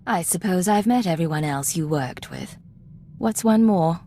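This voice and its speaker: An actress playing a composed, dutiful, coolly suspicious Imperial agent in a video game, formal and controlled. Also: female voice, on the low side, animated, moderate pace, dark tone, slight background noise, close to an everyday voice. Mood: cool, skeptical.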